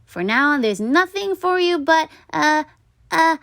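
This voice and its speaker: Stubborn storybook boy, voiced by a woman: defiant, emphatic, high and very animated. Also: takes it slowly, creaky, no room sound, studio quality, exaggerated voice. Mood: firm. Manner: firm, emphatic refusals.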